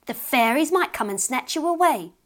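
A woman voicing a cross, weary mother who scolds her child in a sharp, stiff voice. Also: high voice, expressive, normal speed, voice pushed up. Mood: scolding.

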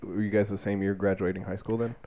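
A man hosting a casual interview, asking informal questions in a halting way. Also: fairly low pitch, flat delivery, dark tone. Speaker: interviewer, host. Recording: dull, slightly lo-fi sound.

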